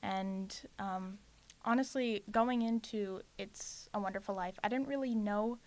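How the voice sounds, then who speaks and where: Female, late teens, medium pitch. Student actor giving casual, slightly hesitant interview answers. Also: a little slow, a little mellow.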